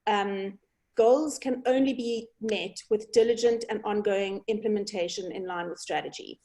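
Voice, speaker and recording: A woman, a founder giving a measured conference talk over a video call, trailing off quietly at the ends of phrases. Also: mid-range voice.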